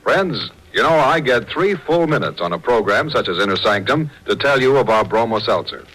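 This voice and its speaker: A man announcing on 1940s radio, delivering a sponsor pitch with emphatic, folksy salesmanship. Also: very animated, moderate pace, fairly bright tone, rough recording. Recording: old 1940s radio broadcast recording.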